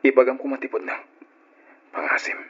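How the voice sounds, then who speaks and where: A man playing an insistent married father in an Ilocano radio drama, with a husky voice. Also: mid-range voice, level tone, brisk, a bit bright. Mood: pleading, insistent. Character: Jerome, insistent married father demanding truth about his son. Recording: muffled lo-fi old radio recording.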